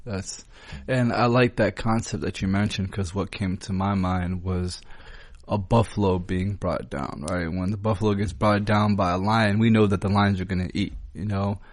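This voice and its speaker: A man's voice: sits low, steady tone, moderate pace, no room sound. A man co-hosting a podcast, speaking casually and agreeably as he explains his thinking. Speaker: podcast co-host. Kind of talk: casual conversational podcast talk.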